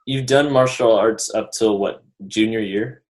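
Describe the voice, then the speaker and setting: A teenage guy hosting a casual podcast, with a fairly low voice. He is inquisitive and chatty as he puts questions to his guests. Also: fairly even intonation, unhurried, a little mellow. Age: teens. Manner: inquisitive, reacts with surprise. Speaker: podcast host. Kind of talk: casual interview chat.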